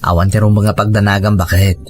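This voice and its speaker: A man's voice: on the low side, little pitch movement, fairly quick, lightly acted. A man playing an earnest young husband in a scripted audio drama, persuasive and determined to provide for his family. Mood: reassuring, determined. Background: faint music.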